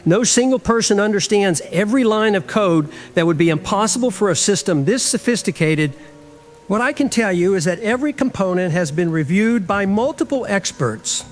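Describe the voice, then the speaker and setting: A man playing a measured, evasive deputy director who defends his program in formal, diplomatic language in an audio drama interview. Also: high voice, animated, slight background noise. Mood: guarded, assured. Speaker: interviewed government official. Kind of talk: scripted radio drama interview.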